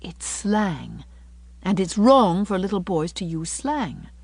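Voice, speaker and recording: Storybook young schoolmistress, as a woman reader plays her: tender, reproachful, proper diction, very expressive melody, closely recorded. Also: lowish voice, slow, no room sound, exaggerated voice. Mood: gently correcting. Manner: tender, reproachful, careful correct speech. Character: gentle, sorrowful young schoolteacher woman, morally earnest.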